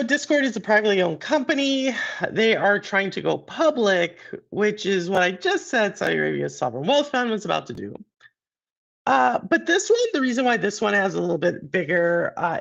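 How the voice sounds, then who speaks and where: Hoarse, gravelly man with a sore throat. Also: a bit bright. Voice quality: deeper than usual. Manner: rambling, conversational, with many fillers and restarts. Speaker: podcast host and streamer. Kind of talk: casual gaming and business commentary.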